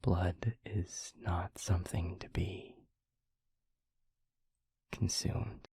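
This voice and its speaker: A man playing a gentle, concerned vampire boyfriend in an intimate audio roleplay, speaking softly and haltingly with a breathy, husky voice. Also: low voice, little pitch movement, dry recording, in a whisper, full character voice. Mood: gentle, reassuring. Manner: soft, hesitant, halting, reassuring.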